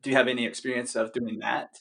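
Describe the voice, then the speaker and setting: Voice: mid-range voice, little pitch movement, fast talker, creaky, fairly bright tone. An interviewer chatting with a longtime friend, asking friendly questions with a playful touch. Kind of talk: casual interview conversation.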